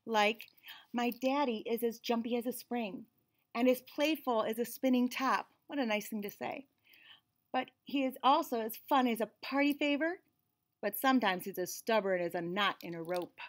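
An American woman in her forties reads a picture book aloud to young children, expressively, with friendly teaching asides. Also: on the high side. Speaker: read-aloud host and teacher. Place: small indoor room with shelves and cabinets.

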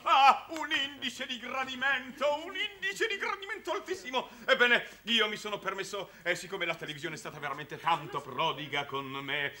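An actor playing an excitable middle-aged circus showman in a 1960s television drama, florid and fussy, stumbling over his words. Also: high voice, moderate pace, hardly any pauses, exaggerated voice. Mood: exuberant. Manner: florid, fussy, stammering with emotion. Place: studio set with a crowd of children.

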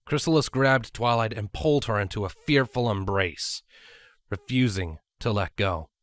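Lowish male narrator, dry sound. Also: moderate pace, a bit bright, no room sound. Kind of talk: scripted audiobook narration.

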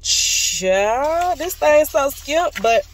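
A woman's voice: high voice, very animated, very slow, a little hiss or hum. A young woman chatting casually to camera in a folksy, conversational way, recorded on a phone inside a car. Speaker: vlogger. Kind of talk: casual vlog chat to camera. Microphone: phone at arm's length.

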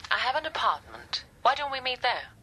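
An actress playing a brisk, self-assured woman doctor, heard over a telephone in a radio drama. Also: high voice, sing-song, sharp tone, heavily acted. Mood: forward, assured. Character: brisk, forward female institute doctor on telephone. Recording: telephone call with faint noise.

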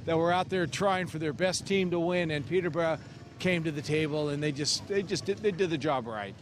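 A male pundit who used to ride speaks plainly and generously outdoors at a stadium. His voice sits a little high. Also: fairly quick, noisy background. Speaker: former rider and pundit.

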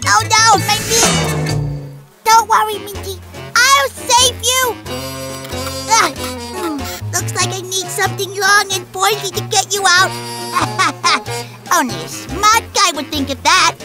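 An actor playing a dim, huffy cartoon egg who talks to itself in an excitable, childish, defensive way. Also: very high-pitched, slow pace, long pauses, heavily acted. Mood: excited. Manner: excitable, self-talking, defensive. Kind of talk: cartoon voice acting. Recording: music behind the voice.

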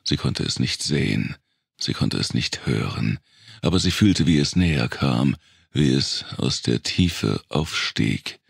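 A man with a deep, gravelly, husky voice reads a dark thriller audiobook in a measured, deliberate storytelling manner. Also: animated, no room sound, clean studio sound. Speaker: audiobook narrator. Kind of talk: scripted narration of a dark post-apocalyptic thriller audiobook.

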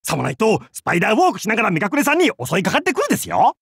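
A middle-aged, nerdy pirate from an anime game. He is goofy and slightly creepy, talking in theatrical slang. His raspy voice leaps extremely in pitch, in a dry recording. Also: huge pitch swings, very fast, fairly bright tone, no echo, heavily acted. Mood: panicked, clownish. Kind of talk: anime game character lines.

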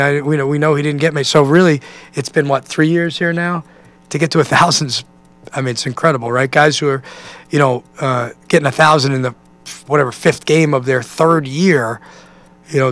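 American coach, halting and thoughtful. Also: male voice, mid-range voice, fairly bright tone. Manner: halting, thinking aloud, peppered with 'you know'. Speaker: basketball coach. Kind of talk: press conference answers, conversational. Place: indoor press conference room. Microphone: microphone on a stand at a table.

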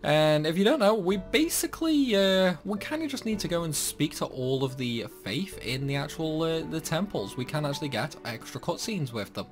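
Male gaming host, animated mid-range voice, chatty and playful, game music underneath. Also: a little high, moderate pace, few pauses. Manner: chatty, playfully talks to the game's characters. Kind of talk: casual game playthrough commentary. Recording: music behind the voice.

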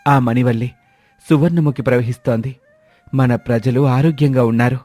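A man voicing a cautious, loyal royal minister who advises in a measured way, in a storybook tale. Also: medium pitch, steady tone, dark tone, no echo, close to an everyday voice. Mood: earnest. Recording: dry, faint music behind.

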